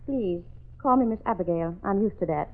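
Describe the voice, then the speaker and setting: A prim, brisk old-time schoolteacher. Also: female voice, medium pitch, animated. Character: prim strict middle-aged schoolteacher woman. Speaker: radio drama actress. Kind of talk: 1940s radio acting. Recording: tinny old radio broadcast.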